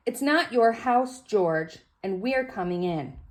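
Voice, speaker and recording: A woman's voice: mid-range voice, animated, slow, voice pushed up. A woman voicing an assertive little girl in a storybook, speaking insistently.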